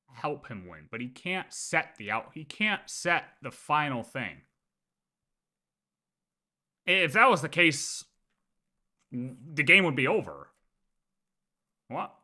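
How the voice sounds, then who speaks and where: A man's voice: medium pitch, very animated, slow pace, long pauses, pushed and bright. A man chatting casually on a game livestream, arguing his points insistently and repeating himself. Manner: argumentative, repeats points, swears. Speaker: game streamer. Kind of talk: livestream reaction, casual chat.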